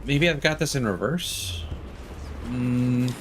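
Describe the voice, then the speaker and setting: A man's voice: mid-range voice, slow, noisy background. A man giving casual live commentary as he streams a video game, thinking aloud hesitantly.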